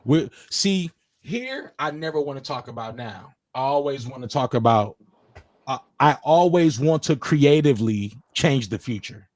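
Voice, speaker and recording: A man's voice: medium pitch, lively intonation, a little slow, darkish tone. A man in his thirties hosting a livestream chat, talking in a profane, slangy way and steering the talk with questions. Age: 30s. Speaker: livestream host. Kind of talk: casual chat. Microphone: distorted mic at times.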